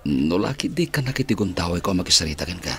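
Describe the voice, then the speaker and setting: An insistent police chief pleads and flatters in a very low, forceful voice. He has an Ilocano Filipino accent and the style of radio drama. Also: quick pace, full character voice. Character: insistent male police chief courting an unwilling woman.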